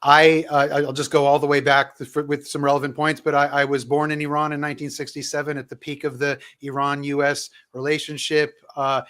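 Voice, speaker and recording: A man's voice: on the high side, little pitch movement, a little fast, hardly any pauses, fairly bright tone. A man in his fifties who grew up in Southern California, a guest historian answering questions with lots of fillers. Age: 50s. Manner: hesitant, with many fillers. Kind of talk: conversational interview answers.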